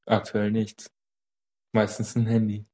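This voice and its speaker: A man's voice: fairly low pitch, monotone, measured pace, warm tone. A nineteen-year-old man giving short, offhand interview answers.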